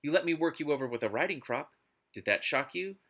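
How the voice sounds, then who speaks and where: A man's voice: a little high, expressive, fairly quick, pushed and bright, exaggerated voice. A man voicing a suave, teasing, commanding lover from a romance novel, smug and sardonic.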